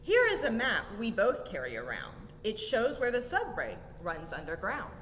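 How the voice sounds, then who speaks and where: A young woman reads a children's picture book in a sing-song, highly animated voice that sits on the high side. Her delivery follows the rhymes with emphatic rises and falls. She is recorded in an echoey, reverberant room. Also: normal speed. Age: young adult. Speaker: story time reader at a museum. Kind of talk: expressive children's picture-book read-aloud. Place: indoor museum exhibit room. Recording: echoey room with low rumbling noise.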